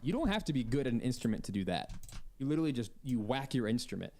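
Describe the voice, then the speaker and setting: A man speaks at a medium pitch in a casual interview style. He is chatty and enthusiastic as he tells his stories. The recording carries faint noise and some clicks. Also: sing-song, fast talker, darkish tone. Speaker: composer and violinist being interviewed. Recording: lightly noisy playback with clicks.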